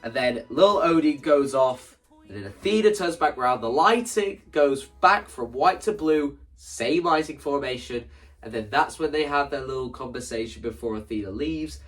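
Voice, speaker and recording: A man's voice: mid-range voice, animated, normal speed. A man talking casually and enthusiastically through his own creative ideas in an explanatory vlog, emphatic and often repeating phrases for stress. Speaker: video creator presenting own stage play.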